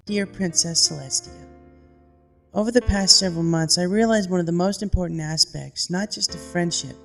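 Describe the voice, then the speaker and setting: Narrator doing an earnest young pegasus girl reading heartfelt letters, bit of gravel, music under it. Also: mid-range voice, moderate pace, a bit bright, in a large hall.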